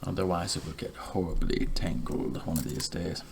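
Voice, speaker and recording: A man playing a polite, fussy barber in a whispered ASMR roleplay, speaking softly and formally close to the microphone. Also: deep voice, creaky. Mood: soft, courteous. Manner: soft, hushed, formal, measured. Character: polite, fussy, chatty male barber tending a client. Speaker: ASMR performer. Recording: loud clicking and hiss, scissor sounds.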